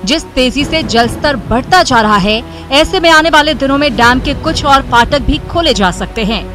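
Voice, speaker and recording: A woman's voice: high voice, expressive, moderate pace. A woman narrating scripted news reports as a broadcast voice-over. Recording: news music behind. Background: background music.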